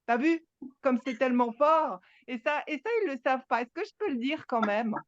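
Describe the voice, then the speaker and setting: A woman, sharing her experience at a spiritual gathering, halting and emphatic, with many restarts. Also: sits high, no echo, highs cut off. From Switzerland. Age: 60s. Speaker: satsang participant.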